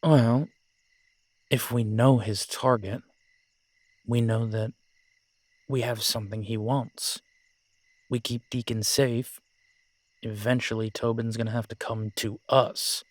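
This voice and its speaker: A man's voice: medium pitch, fairly even intonation, slow, pauses often, dry recording. A man with an American accent playing an easygoing, frank werewolf and former soldier in an audio drama, talking casually. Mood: earnest. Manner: casual, colloquial, hesitant phrasing. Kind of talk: dramatic audio roleplay acting.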